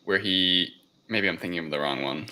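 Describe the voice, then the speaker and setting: A man's voice: low-pitched, moderate pace, pushed and bright, poor audio. A man chatting casually as a podcast panelist, tentative and loose in his phrasing. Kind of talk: casual chat.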